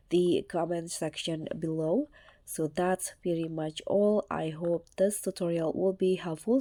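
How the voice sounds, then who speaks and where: Mellow low-voiced woman hosting a tutorial. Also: no room sound. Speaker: tutorial host. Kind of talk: casual step-by-step tech tutorial narration.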